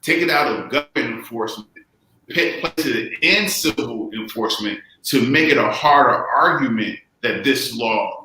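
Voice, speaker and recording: Bright-voiced man in a very echoey room. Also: mid-range voice, moderate pace. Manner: explanatory, emphatic, repeats key phrases. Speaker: lawyer. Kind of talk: casual panel discussion.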